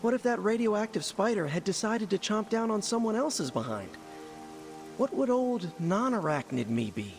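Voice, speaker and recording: A young American superhero from a video game. The voice is high and swings widely in pitch. He cracks jokes at his own expense yet stays earnest. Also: sing-song, normal speed, soft background music. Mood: wistful joking. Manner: self-deprecating, joking. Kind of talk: video game cutscene acting.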